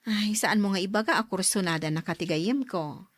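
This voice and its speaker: A woman's voice: mid-range voice, moderate pace, no echo, lightly acted. An actress playing a young man's teasing, probing sister in a radio drama.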